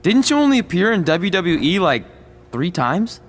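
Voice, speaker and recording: A man's voice: high-pitched, big pitch swings, normal speed. An actor playing a cocky, wisecracking young male wrestling trainee in video game dialogue. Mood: defensive. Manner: flippant, wisecracking.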